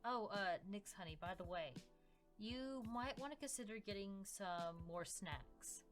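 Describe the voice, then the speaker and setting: A woman's voice: mid-range voice, lively intonation, a little slow, soft background music. A woman co-hosting a podcast in character as a fey demon, telling family stories in an informal, hesitant way. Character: fey demon woman gossiping about humans. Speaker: podcast co-host.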